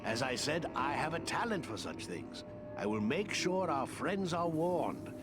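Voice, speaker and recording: An actor playing a kindly, eccentric old woodland wizard in a fantasy game, chatty and expressive. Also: male voice, on the high side, unhurried. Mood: wry, reassuring. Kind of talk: fantasy game character acting. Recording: loud music under dialogue.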